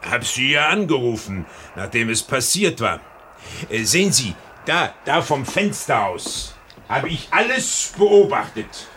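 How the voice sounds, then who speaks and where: Bluff old sea dog, slow talker but lively, folksy, repeats himself, radio crime play. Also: male voice, mid-range voice. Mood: confiding. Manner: folksy, hesitant, repeating words. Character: old lighthouse keeper and seaman, bluff, chatty, whisky-drinking. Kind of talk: radio crime drama acting. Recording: old radio play with faint background noise.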